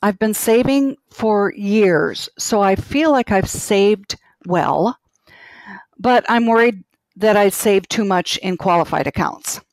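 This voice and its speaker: A woman's voice: mid-range voice, slow pace, no echo, low-quality recording. A woman in her sixties with a Minnesota accent calling in to an advice show, plainspoken and a little anxious. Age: 60s. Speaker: caller. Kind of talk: phone-in conversation.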